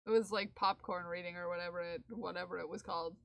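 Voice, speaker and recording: A woman's voice: on the low side, fairly even intonation, fast talker, vocal fry, no echo. A young woman casually chatting on a live stream while she knits for charity. She rambles, giggles often and slips into playful bits of song. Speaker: livestreamer knitting for charity.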